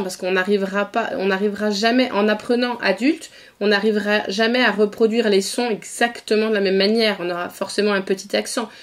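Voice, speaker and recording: A woman from northeast France hosting a podcast interview, chatting in an easygoing way and often murmuring agreement. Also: medium pitch. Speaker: podcast host. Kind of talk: casual conversational interview.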